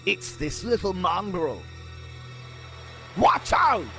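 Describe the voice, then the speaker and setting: Man as a high-voiced suspicious dwarf adventurer. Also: animated, slow pace, vocal fry, bright, forceful tone, heavily acted. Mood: alarmed. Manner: blunt, archaic phrasing. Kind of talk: fantasy game cutscene acting. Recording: music behind the voice.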